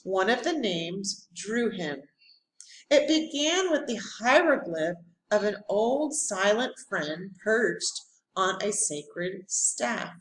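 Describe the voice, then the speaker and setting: Woman reading aloud slowly, very animated. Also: medium pitch, low-quality recording. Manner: deliberate, dramatic phrasing with pauses. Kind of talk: expressive read-aloud of a children's history storybook.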